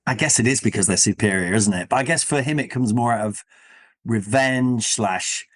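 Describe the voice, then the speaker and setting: A man's voice: mid-range voice, moderate pace. A man hosting a casual discussion, thinking aloud in a rambling, self-questioning way. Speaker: podcast host. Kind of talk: casual comic-book discussion.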